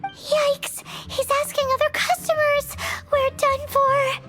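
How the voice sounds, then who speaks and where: A woman voicing a tiny, excitable, easily alarmed fairy companion in a video game, squeaky and exclaiming. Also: takes it slowly, full character voice. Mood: panicked. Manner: squeaky, exclaiming, speaks of itself in third person. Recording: loud music under the voice.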